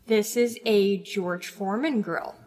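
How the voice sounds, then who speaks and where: A woman's voice: mid-range voice, in a large hall, very noisy, lightly acted. Woman as bossy agent in a ringing room. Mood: bossy, wry. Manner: brisk, sarcastic. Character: bossy, sardonic young female tech-savvy agent. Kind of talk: comedy audio drama.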